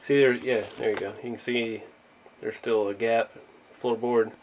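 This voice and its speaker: A man talks through a hands-on hobby project in a casual vlog style. He sounds unassuming and a little hesitant, working out his thoughts aloud as he goes. His pitch sits in an ordinary middle range for a man. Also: steady tone, measured pace, a little mellow, a little hiss or hum, poor audio. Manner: modest, hesitant, thinking aloud. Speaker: hobby channel host.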